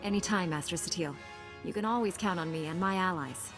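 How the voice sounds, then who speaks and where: A woman's voice: on the low side, fast talker, close to an everyday voice. An actress playing a resolute, dutiful young female Jedi knight in a video game, speaking earnestly, directly and with confidence. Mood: confident. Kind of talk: scripted video game dialogue. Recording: long hall reverb, faint music behind.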